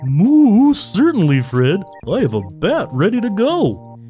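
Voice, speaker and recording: A man voicing an earnest, grieving talking bull with a mellow voice and a slow delivery in an audio horror comedy. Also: high-pitched, wildly swooping pitch, soft background music, exaggerated voice. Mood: anxious, eager. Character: earnest, grieving talking bull seeking his wife's return.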